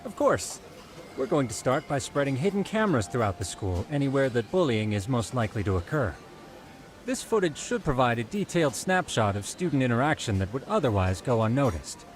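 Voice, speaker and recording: An eager, businesslike detective played by a man with a mid-pitched, lively voice. He explains things formally and precisely in game cutscene style, with faint noise behind him. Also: fairly quick, natural voice. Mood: confident, explanatory. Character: businesslike, eager male private detective pitching his plan. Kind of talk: video game cutscene acting.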